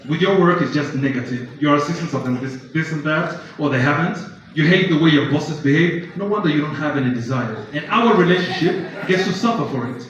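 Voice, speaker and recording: An actor in a live stage play playing a frustrated boyfriend who pleads insistently and repeats himself. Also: male voice, a little high, expressive, fairly quick, hardly any pauses, natural voice. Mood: pleading, frustrated. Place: live theatre stage, large indoor room. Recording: echoey hall, distant mic, dull, audience noise.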